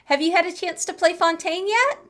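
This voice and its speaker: A woman's voice: very high voice, a little fast, a bit bright. An American woman from the eastern US, chatting casually and playfully as she streams gameplay. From eastern United States. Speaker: game streamer. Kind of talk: casual live gameplay commentary. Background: game music.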